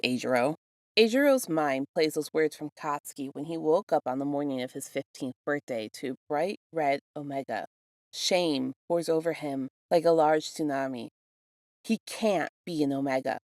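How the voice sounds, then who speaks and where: A woman narrates fan fiction in a low register. The sound is dry, with very little room around the voice. She reads from a script as a storyteller. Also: vocal fry, no room sound. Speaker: podfic reader.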